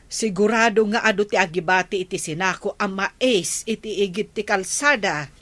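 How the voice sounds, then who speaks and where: A woman's voice: medium pitch, normal speed, a bit bright, natural voice. A woman playing a scolding, exasperated village wife in an Ilocano radio comedy, nagging emphatically with an Ilocano Filipino accent. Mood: grumbling.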